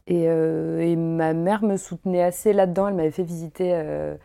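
Thirties woman, craft guest, mellow and lowish, talkative with easy laughter. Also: fairly even intonation, normal speed, gravelly. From Paris, France. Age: 30s. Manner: chatty, many fillers, laughs easily. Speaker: guest, costume maker and embroiderer. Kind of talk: casual interview chat. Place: her home.

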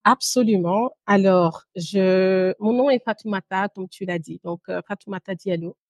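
Female interview guest, thirties, mid-pitched, measured storyteller who pauses often; dry sound. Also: dry recording. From Guinea and Brussels, Belgium. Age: 30s. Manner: measured, pausing, with occasional English words. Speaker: podcast guest, entrepreneur.

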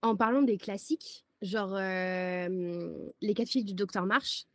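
Woman, podcast co-host, casual slangy chat, medium pitch, dry close sound. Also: takes it slowly, no room sound. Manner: animated, slangy, many fillers. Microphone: remote recording.

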